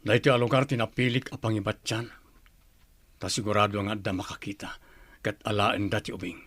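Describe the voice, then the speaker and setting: A man with an Ilocano accent acting as a ruthless, commanding group leader in a radio drama, stern and authoritative, with a husky voice. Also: lowish voice, fairly even intonation, fairly quick, fairly bright tone, no room sound.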